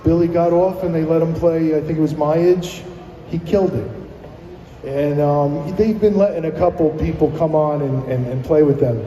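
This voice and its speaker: A man's voice: fairly high pitch, moderate pace, mellow, a little hiss or hum. A middle-aged American man, a musician guest, chatting casually and telling rambling, enthusiastic anecdotes. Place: live radio broadcast at a public plaza. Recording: echoey hall with music behind.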